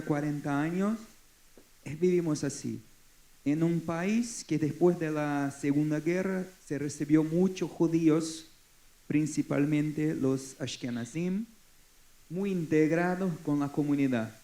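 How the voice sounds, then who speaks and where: A man in his forties from Brazil with a Brazilian Portuguese accent, Portuguese his first language, speaking haltingly as a forum panelist. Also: a little high, warm tone. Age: 40s. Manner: halting, searching for words. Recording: echoey conference hall.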